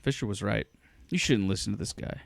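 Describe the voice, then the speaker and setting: A man playing a guarded, deadpan executive turned superhero with low-key delivery in an audio drama. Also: a little fast, creaky, dry recording, studio quality. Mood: quiet, deadpan.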